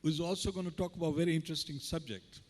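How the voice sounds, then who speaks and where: A man moderating a conference panel, speaking haltingly with many fillers and restarts. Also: on the high side, fairly even intonation, darkish tone. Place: conference hall. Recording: reverberant conference hall.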